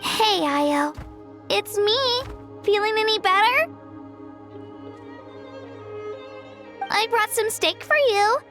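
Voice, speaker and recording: Caring young child villager, very high pitch, sincere, grateful, video game dialogue, background music. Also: female voice, expressive, slow, a bit bright, full character voice. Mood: gentle, disheartened.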